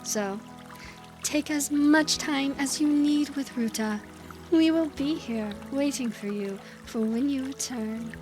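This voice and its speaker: A woman playing a proud, gracious young desert chieftain in an audio drama, with measured, formal speech. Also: sits high, music in the background. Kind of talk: audio drama character acting.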